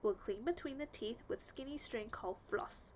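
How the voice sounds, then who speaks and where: A woman reads as a reassuring female dental assistant in a children's storybook. She explains things playfully in a high, very lively voice with faint noise behind it. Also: moderate pace, natural voice. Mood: encouraging.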